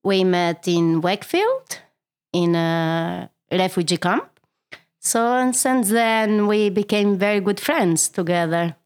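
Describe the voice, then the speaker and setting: A woman speaking English as a second language, a guest in a casual podcast chat, talking in quick, simple phrases. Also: medium pitch, animated, clean tone. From came via Greece. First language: not English. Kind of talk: casual conversation.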